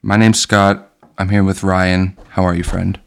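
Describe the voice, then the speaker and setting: A man's voice: low-pitched, level tone, unhurried, creaky, slight background noise. A man hosting a casual movie podcast, joking loosely and swearing freely. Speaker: podcast host. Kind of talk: casual chat about movies.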